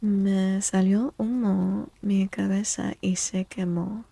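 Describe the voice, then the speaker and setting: A soft-spoken woman chatting in a gentle, playful ASMR style as a streamer, often dropping to a whisper. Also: medium pitch, level tone, slow, gravelly, no echo. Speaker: live streamer reading tarot cards for chat. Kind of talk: soft-spoken ASMR chat, greeting viewers.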